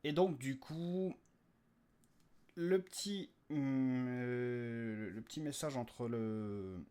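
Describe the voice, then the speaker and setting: A man's voice: mid-range voice, drawn-out words, warm tone. A man hosting his own podcast, giving listeners a casual, off-the-cuff update in a rambling, jokey, slangy way. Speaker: podcast creator and host.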